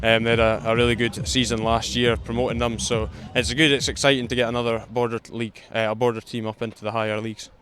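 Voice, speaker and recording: Male rugby captain, monotone, hesitant, casual pitchside interview. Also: fast talker, few pauses. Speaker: rugby player and team captain. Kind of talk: casual post-match interview. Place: outdoors pitchside.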